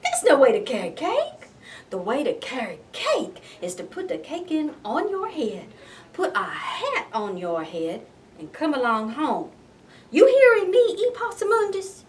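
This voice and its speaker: Woman playing a loud, exasperated mama possum. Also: sits high, wildly swooping pitch, measured pace, recorded from a distance, a little hiss or hum, full character voice. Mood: exasperated, scolding. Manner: emphatic, repetitive, folksy scolding.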